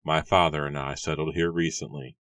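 A man's voice: very deep voice, level tone, brisk, a bit bright, no room sound. A man voicing a confident, cheerful young farmhand who speaks politely and eagerly.

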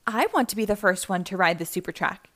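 A woman's voice: medium pitch, quick pace, fairly bright tone, close to an everyday voice. A woman voicing a proud, friendly male monster truck stunt racer with a keen manner in a children's storybook. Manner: keen, exclaiming.

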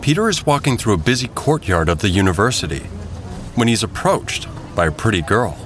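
A man narrating a scripted audio drama as a measured, descriptive storyteller. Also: fairly low pitch, big pitch swings, slight background noise. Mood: storytelling.

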